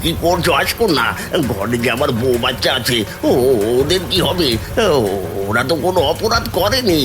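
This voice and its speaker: A man's voice: fairly high pitch, lively intonation, moderate pace, music in the background, natural voice. A man voicing a greedy, cowardly village thief in a cartoon, whining, panicky and pleading in an exaggerated style.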